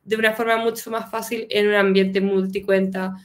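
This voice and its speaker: A woman's voice: mid-range voice, level tone, moderate pace. A young woman with a Chilean accent, presenting a tech lesson on a video call, casual and chatty, with a cold. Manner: casual, often adds "¿cierto?" as a tag. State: has a cold, coughs. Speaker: guest speaker. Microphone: webcam video call.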